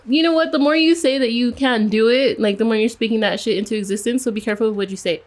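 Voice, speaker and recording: A woman's voice: a little high, fast talker, a little mellow. Casual, swearing young woman podcaster. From United States. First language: Spanish. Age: 20s. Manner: loose, profane, laughing banter. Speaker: podcast co-host. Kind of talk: casual chat.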